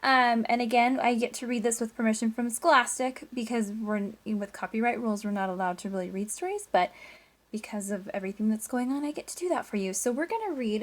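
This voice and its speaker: A woman teacher doing an animated read-aloud of a children's story, with chatty asides and questions to young listeners. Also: a little high. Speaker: teacher reading aloud to class.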